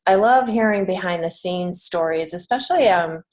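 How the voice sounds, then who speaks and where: An American woman with a slightly low-pitched voice talks as an interview guest. She is earnest and encouraging, pausing thoughtfully as she explains her views in a conversational way. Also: a little mellow. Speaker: guest, children's book author and writing teacher.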